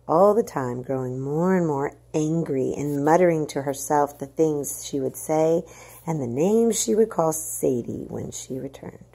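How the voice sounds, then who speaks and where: A woman's voice: deep voice, gravelly, darkish tone, husky. A woman reading a children's story aloud with dramatic, emphatic expression. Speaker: storybook reader.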